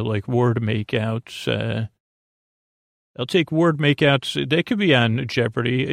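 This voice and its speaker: A man hosting a bedtime podcast in a creaky voice, with a soothing, halting, tangent-filled ramble that stumbles over words. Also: medium pitch, dry recording, clean studio sound. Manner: soothing, lulling, whimsical. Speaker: podcast host. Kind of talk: rambling bedtime monologue to help listeners sleep.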